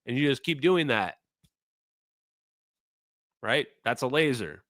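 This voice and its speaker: A man's voice: medium pitch, expressive, slow pace, a bit bright, no echo, studio quality. A man from Minnesota with a Minnesota accent, hosting a livestream and talking casually, rambling with fillers and repeated phrases. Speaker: streamer host.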